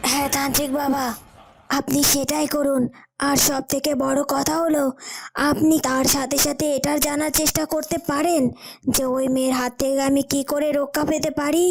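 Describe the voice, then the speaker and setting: A woman's voice: high voice, little pitch movement, normal speed, gravelly, a little hiss or hum. A woman voicing an anxious witch in a cartoon, pleading emphatically for help. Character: anxious female witch pleading for her life. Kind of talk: Bengali cartoon voice acting. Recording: music behind the voice. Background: background music.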